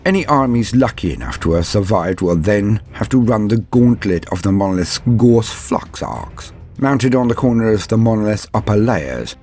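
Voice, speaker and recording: A man hosting a lore guide, reading scripted narration in a theatrical, measured and formal manner. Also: sits low, creaky, a little mellow. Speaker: host of a Warhammer lore guide.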